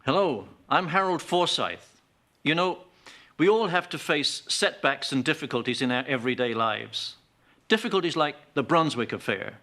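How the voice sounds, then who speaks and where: An actor playing a male television spokesman delivering a reassuring sales pitch in an animated film. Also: moderate pace.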